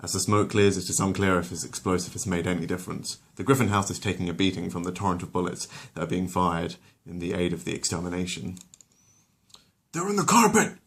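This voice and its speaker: A man with a low voice tells a bedtime story. He narrates with drama and drops into casual, conversational asides. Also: flat delivery, fast talker, few pauses. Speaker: storyteller and channel host.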